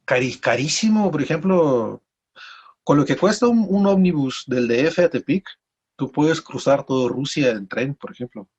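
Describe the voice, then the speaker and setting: A man from Mexico with a Mexican accent, telling stories casually as an interview guest in a rambling, halting way with repeated words. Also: fairly high pitch, expressive, a little mellow, breathy edge, dull audio. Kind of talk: casual conversational storytelling.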